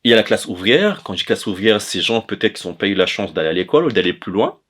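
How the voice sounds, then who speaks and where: A man's voice: on the low side, big pitch swings, fast, a bit bright. A man from the Comoros, giving an informal explanatory talk in a hesitant way, often stopping to correct himself. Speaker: presenter of an educational chronicle on language.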